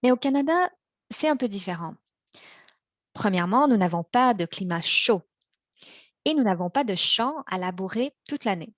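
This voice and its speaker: A young woman born in China and raised in Montreal, giving a prepared practice speech in a measured way, slowing on key words, with small restarts. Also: medium pitch, expressive, no room sound. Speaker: practice speaker for interpreters.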